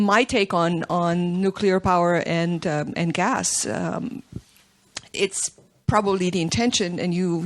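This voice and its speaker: A woman from Romania speaking as a panelist, reflective and a little self-deprecating. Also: lowish voice. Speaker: panelist, investor. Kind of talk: panel discussion.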